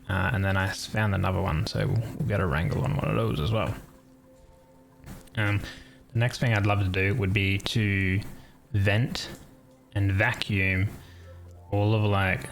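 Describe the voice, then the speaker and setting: A man, streaming a game and thinking aloud in a relaxed, calm way. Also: low-pitched, vocal fry. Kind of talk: casual gameplay commentary.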